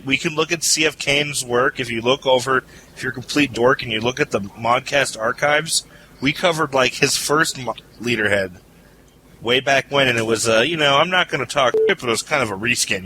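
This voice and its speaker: A man's voice: mid-range voice, a little fast, noisy background. A man hosting a casual podcast panel chat, loose and jokey, laughing often. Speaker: podcast host.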